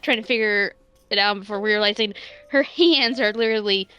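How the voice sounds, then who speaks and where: A woman's voice: medium pitch, moderate pace, a bit bright, clear, resonant tone, lo-fi sound. A woman playing a tabletop role-playing game, narrating her character casually and playfully.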